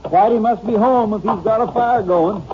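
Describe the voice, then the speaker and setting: A man's voice: sits high, moderate pace, narrow, tinny audio, close to an everyday voice. A man playing an eager, folksy western sheriff in an old-time radio crime drama, blunt and full of questions, on a noisy old recording. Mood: watchful. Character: plain-spoken, eager male western sheriff.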